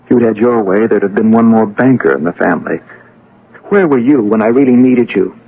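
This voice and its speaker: Casting: defiant, self-important scientist, low gravelly voice, resentful theatrical delivery, dull vintage radio sound. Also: male voice, a little fast. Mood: bitter, defiant. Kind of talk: old-time radio drama acting. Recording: band-limited radio drama recording.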